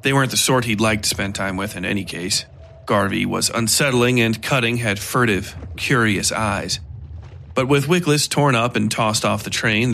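A man reading scripted dark fiction as a narrator. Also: lowish voice, slight background noise.

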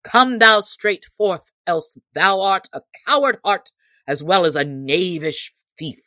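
Woman doing a gruff, blustering stout cook. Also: lowish voice, takes it slowly, pushed and bright, no room sound. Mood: challenging. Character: tall, stout, blustering, valorous male cook.

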